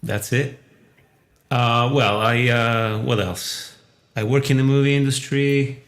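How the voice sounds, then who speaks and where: A man's voice: medium pitch, steady tone, slow pace, a bit bright. A man from Italy, talking casually as a guest on a livestream. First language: Italian. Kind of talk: casual chat.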